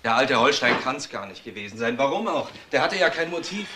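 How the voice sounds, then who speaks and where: An actor playing a precise, factual police investigator in a crime radio drama. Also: male voice, mid-range voice, very animated, fairly quick, pushed and bright, slight background noise. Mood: reasoning. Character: male junior police investigator, brisk and factual.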